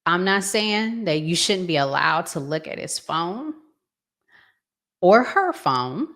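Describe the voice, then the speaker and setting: A middle-aged woman hosts a casual reaction vlog. Her voice sits a little low and she talks very slowly. She thinks aloud in a halting, conversational way, unscripted throughout. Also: sing-song, fairly bright tone. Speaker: vlog host giving commentary.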